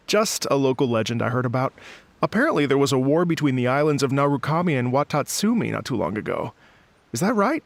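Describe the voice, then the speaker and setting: A man playing a gentle, polite, earnest travelling musician in a video game, courteous and slightly hesitant. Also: mid-range voice, big pitch swings, a little fast, vocal fry, no echo. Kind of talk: animated video game voice acting.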